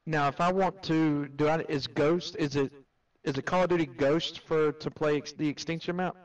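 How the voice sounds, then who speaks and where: A man on a gaming panel chimes in helpfully with short replies. His voice sits a little high and sounds muffled and set back from the mic. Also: steady tone, distant mic. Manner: helpful, quick affirmations. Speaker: co-host panelist. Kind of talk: casual gaming chat.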